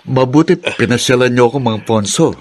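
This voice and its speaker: An actor playing a respectful, concerned Filipino family friend in a radio drama, inquiring in manner. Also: male voice, medium pitch, a little fast, loud music behind the voice, natural voice. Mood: friendly.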